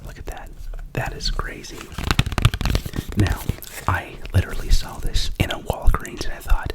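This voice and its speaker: Whispering man, ASMR unboxing host. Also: unhurried. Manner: rambling, chatty whisper with self-corrections. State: sick with a cough.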